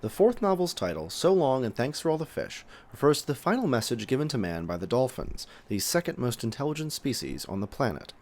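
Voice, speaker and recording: Male narrator, mid-range pitch, very animated melody, scripted explainer read. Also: brisk, hardly any pauses.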